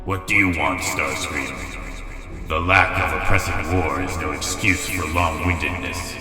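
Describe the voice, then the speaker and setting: Grim, commanding robot warlord, extremely low voice, deliberate and proud, music underneath. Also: male voice. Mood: stern, weary. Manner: formal, stern, deliberate phrasing. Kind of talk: dramatic comic dub acting. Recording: music behind the voice.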